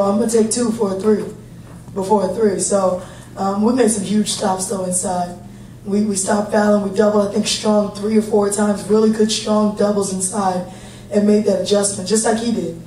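Soft-toned woman coach in an echoey room. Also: mid-range voice, moderate pace. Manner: steady, plain-spoken, upbeat. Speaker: head basketball coach. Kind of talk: post-game press conference answers. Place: large conference room. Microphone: table mic, heard from a distant camera. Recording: echoey room, distant mic, faint hum.

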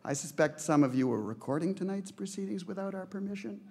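A man's voice: high-pitched, lively intonation, fast talker, vocal fry, warm tone. A middle-aged man from Canada giving an earnest acceptance speech at a podium in a large banquet hall, stressing his points with repeated phrases. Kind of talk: prepared speech read at a podium. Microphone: podium microphones.

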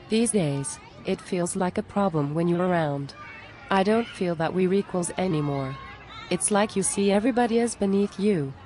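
A woman's voice: fairly low pitch, dark tone, natural voice. A woman playing an exasperated, plain-spoken friend who pleads earnestly and reproachfully in scripted cartoon dialogue. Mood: disappointed, resigned.

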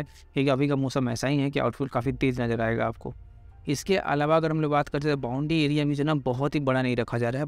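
A man's voice: mid-range voice, no echo. An Indian man presenting informal sports analysis, explaining things repetitively and addressing listeners directly. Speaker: cricket pitch report presenter.

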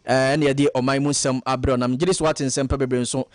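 A man from Ghana hosting a radio phone-in show, greeting callers briskly one after another. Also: medium pitch, level tone, no echo, clean studio sound.

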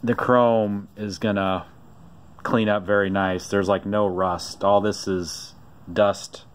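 Low, flat-voiced man rambling outdoors. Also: slow, slight background noise. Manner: relaxed, rambling storytelling with frequent "uh"s. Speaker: vlogger showing a vintage find. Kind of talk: casual off-camera narration. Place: outdoors on a driveway.

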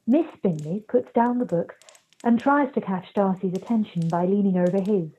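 A woman's voice: mid-range voice, moderate pace, warm tone. A woman reading scripted scene narration for a radio play. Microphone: video call. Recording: dull video-call audio.

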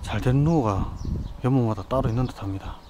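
A man hosting a fishing vlog, calmly explaining his lure choices and tactics outdoors by a rural pond. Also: mid-range voice, quick pace. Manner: calm, explanatory, practical tips. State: hot from the weather. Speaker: fishing channel host. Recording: outdoor noise, nature sounds. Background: birds and countryside ambience.

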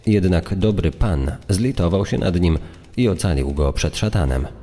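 A man's voice: sits low, lively intonation, normal speed, warm tone. A man delivering scripted, dramatic true-crime narration as a secondary storyteller. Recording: long reverb on the voice.

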